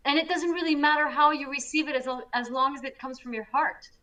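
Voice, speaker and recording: High, bright, emphatic woman co-host. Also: quick pace, far from the mic. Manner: energetic, emphatic, self-described loud. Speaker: co-host, astrologer. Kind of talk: casual spiritual chat.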